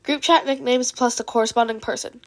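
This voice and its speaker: Higher-pitched woman reading a story aloud. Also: steady tone, brisk. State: sick with a cold. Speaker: fan fiction narrator. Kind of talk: amateur audiobook narration, reading aloud.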